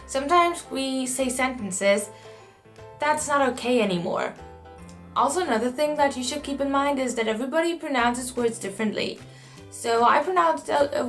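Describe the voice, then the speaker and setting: A woman's voice: a little high, a bit bright. Earnest young German woman vlogging. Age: young adult. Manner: earnest, reflective, encouraging. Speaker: au pair vlogger. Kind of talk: casual talk to camera.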